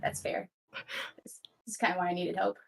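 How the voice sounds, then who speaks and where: A woman's voice: lowish voice, level tone, a little fast, vocal fry, husky. A woman playing in a tabletop roleplay, bantering casually in character.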